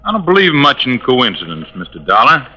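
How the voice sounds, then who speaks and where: An actor playing a plainspoken, suspicious American police lieutenant in a 1950s radio drama, firm and folksy in manner. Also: male voice, mid-range voice, huge pitch swings, a little fast, slightly rough, lo-fi sound. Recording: old-time radio recording.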